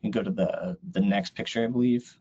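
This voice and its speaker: A man's voice: fairly low pitch, fairly even intonation, quick pace, warm tone, a little hiss or hum. A man giving an explanatory slide presentation in a webinar. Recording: dull, lo-fi online audio.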